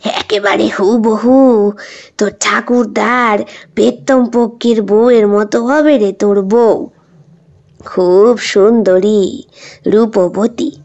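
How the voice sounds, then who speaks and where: A fond, humble old grandmother from a village tale, voiced by a woman storyteller. Her rustic speech is drawn out and lower-set, heard on a dull recording. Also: steady tone, slow pace, pauses often, darkish tone. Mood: fond, admiring.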